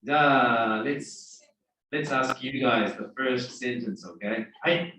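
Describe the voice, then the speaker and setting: A man's voice: medium pitch, slow pace, bright, forceful tone, slight background noise. Male classroom teacher, mid-range voice, prompting students; recorded at a distance in a roomy space. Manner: coaxing, questioning students. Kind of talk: interactive classroom talk. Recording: echoey room, distant microphone.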